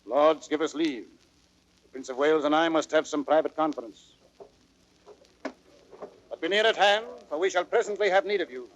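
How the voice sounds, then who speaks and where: A man's voice: on the high side, normal speed, pauses often, natural voice. An actor playing an older, grave, reproachful king in old radio Shakespeare style, on a dull recording. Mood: commanding. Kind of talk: 1930s radio Shakespeare acting.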